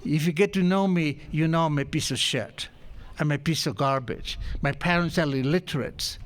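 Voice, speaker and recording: A man's voice: a little high, normal speed. An older man from Argentina with a thick Argentine Spanish accent, telling his life story to an audience in a humorous, self-mocking way. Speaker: recovery meeting speaker.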